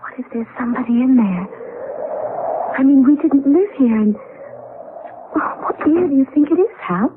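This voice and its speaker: A very noisy, thin-sounding 1970s radio broadcast. an anxious American wife with a high voice, unnerved by uncanny events and insistent about what she notices. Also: lively intonation, normal speed, long pauses, phone-quality sound. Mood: worried. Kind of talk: 1970s radio drama acting.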